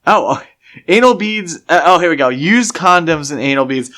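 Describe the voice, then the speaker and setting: Man chatting casually, halting delivery. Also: a little high, animated, bright, forceful tone. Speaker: podcast co-host. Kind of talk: casual film discussion chat.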